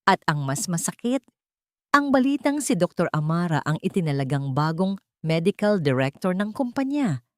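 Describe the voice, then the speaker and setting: A woman narrating a dramatic audio story in a steady, measured way. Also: fairly low pitch, no echo, studio quality.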